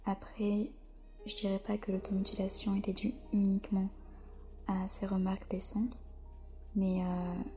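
A soft-spoken French woman, a podcast guest, answering slowly with many hesitant pauses in a whispery voice. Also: mid-range voice, completely flat delivery, faint music behind. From France. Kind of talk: podcast interview.

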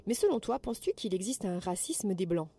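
A woman hosting a podcast, gently reading out prepared interview questions in a measured way. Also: medium pitch, big pitch swings, a little hiss or hum.

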